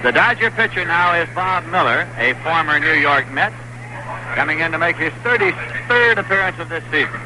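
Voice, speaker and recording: A man's voice: fairly high pitch, expressive, normal speed. An American man calling baseball play-by-play in a 1960s radio style, on a noisy old broadcast. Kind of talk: 1960s radio sports commentary.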